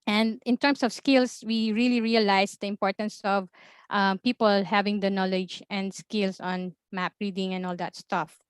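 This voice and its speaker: A Filipino woman with a Filipino accent, presenting in a webinar as an observatory information officer in a measured way, with many ums and uhs. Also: mid-range voice, level tone, smooth, no echo, studio quality. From Philippines.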